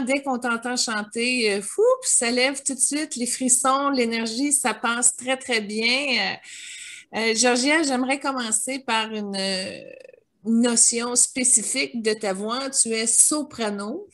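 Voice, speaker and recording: A woman's voice: on the high side, a little slow. A woman hosting an interview, asking questions in an admiring tone with frequent fillers. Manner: warm and admiring, with many fillers. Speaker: interview host.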